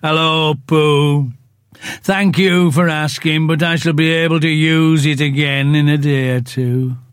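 A man voicing a gloomy old male donkey who speaks slowly and mournfully, full of self-pity. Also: on the high side, fairly even intonation, smooth, no room sound, studio quality, natural voice. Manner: slow, drawn-out, self-pitying.

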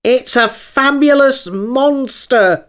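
A woman voicing a haughty, dreamy male unicorn in a storybook, speaking in a drawn-out, imperious way. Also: medium pitch, sing-song, fairly bright tone, pitched above the performer's own voice. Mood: eager.

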